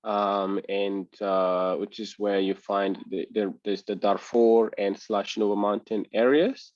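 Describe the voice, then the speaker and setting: A man in his thirties from Sudan, answering interview questions in a measured, reflective way. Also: lowish voice, fairly even intonation, a little mellow, dry recording, dull audio. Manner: measured and reflective, pausing to gather thoughts. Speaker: interview guest.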